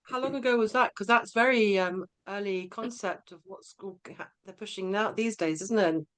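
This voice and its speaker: A woman's voice: mid-range voice, moderate pace, fairly bright tone. A woman hosting a podcast interview over a video call, chatty and encouraging. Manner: chatty and encouraging, laughs easily. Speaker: podcast host. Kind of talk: casual interview chat.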